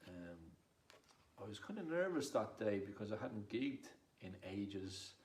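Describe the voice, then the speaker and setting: Low-voiced Dublin man in an echoey room. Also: sing-song, measured pace, a little mellow. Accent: Irish, Dublin. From Dublin, Ireland. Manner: self-deprecating, chatty. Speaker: poet and performer. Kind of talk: casual rambling introduction to camera. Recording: roomy echo with clear background noise.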